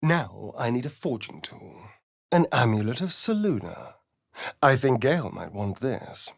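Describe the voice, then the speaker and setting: Sly male vampire spawn, sardonic and vain, swooping pitch, unhurried drawl, dry close recording, video game character. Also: sing-song, gravelly, dry recording, heavily acted. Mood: wary, pensive. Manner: theatrical, drawling, arch.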